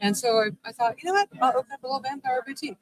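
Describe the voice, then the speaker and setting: A woman's voice: high voice, lively intonation, very fast, dry recording, low-quality recording. Chatty middle-aged woman telling a story. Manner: chatty, rambling, many restarts. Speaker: guest, vampire shop owner. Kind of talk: casual storytelling in a live interview. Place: convention event.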